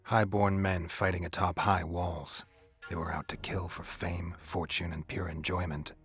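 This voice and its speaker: A man's voice: low-pitched, level tone, a little slow, a bit bright. A man playing a stoic, world-weary mercenary monster hunter in a fantasy video game, speaking in a terse, dry, understated way. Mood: sardonic. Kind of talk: dramatic fantasy game acting.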